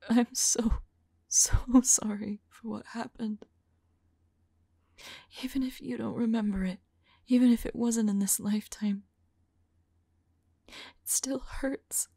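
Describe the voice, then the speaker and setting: A woman playing a remorseful, lovelorn female vampire in an ASMR roleplay, whispering softly and tenderly in a halting, intimate monologue. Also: on the high side, steady tone, no room sound, exaggerated voice. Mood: pleading, tearful. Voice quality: soft, breathy whisper. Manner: slow, halting, tender delivery.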